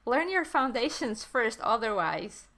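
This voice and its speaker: A woman's voice: on the high side, very animated, a little slow, pushed and bright. A woman whose first language is Portuguese, hosting a casual podcast chat and eagerly agreeing with her guest. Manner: enthusiastic and agreeing, often affirms the guest. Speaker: podcast host. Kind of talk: casual conversation.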